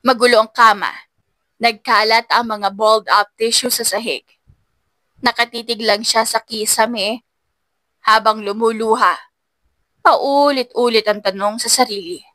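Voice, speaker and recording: A woman's voice: fairly high pitch, fairly even intonation, a little slow, long pauses, sharp tone, no room sound. A woman narrating a scripted audiobook story in an emotive, dramatic manner.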